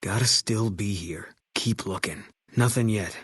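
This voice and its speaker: A brooding sniper companion for a video game. His low, rough-edged voice is guarded and deadpan, giving short military lines. The recording is very dry and close. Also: unhurried, dry recording. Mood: terse, guarded.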